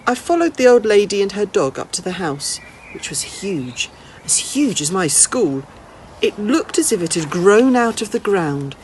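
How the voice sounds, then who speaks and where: A woman reading a children's novel aloud as an expressive narrator. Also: mid-range voice, a little hiss or hum. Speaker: storybook reader, school staff.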